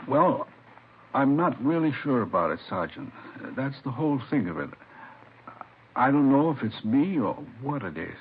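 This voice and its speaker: A man's voice: medium pitch, big pitch swings, normal speed. An actor playing a troubled elderly grandfather in a 1950s radio drama, speaking hesitantly and apologetically. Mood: troubled, unsure. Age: older. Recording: muffled, thin, faintly hissy old radio recording.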